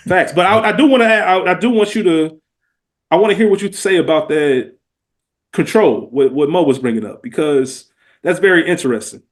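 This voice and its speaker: A man's voice: a little high, brisk. A man with an American accent talking earnestly and casually in slangy, reflective podcast conversation. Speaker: podcast guest or co-host.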